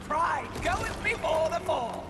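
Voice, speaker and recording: Gloating demon villainess in cavernous reverb. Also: female voice, very high voice, exaggerated voice. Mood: mocking. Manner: theatrical, gloating. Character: gloating female demon villain, the sin Envy, scheming and power-hungry. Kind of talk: video game cutscene villain acting. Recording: noisy, cavernous long reverb.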